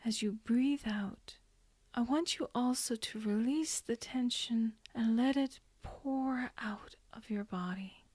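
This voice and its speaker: Slow, whispery woman guiding meditation. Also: a little high, no echo. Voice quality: soft, breathy, whispery. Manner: soothing, gently instructing. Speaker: hypnotherapist guiding a session. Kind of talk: guided hypnosis meditation.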